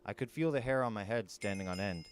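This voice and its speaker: A man's voice: fairly low pitch, quick pace, a little hiss or hum. A man narrating a first-person adventure novel in a dramatic storytelling style. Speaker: storyteller reading an audiobook.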